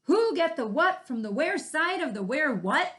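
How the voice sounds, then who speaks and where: A woman's voice: sits high, very animated, normal speed, a bit bright. A woman voicing an eager, naive young rabbit in a children's story, obedient and easily confused. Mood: baffled. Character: eager, naive young bunny who never listens.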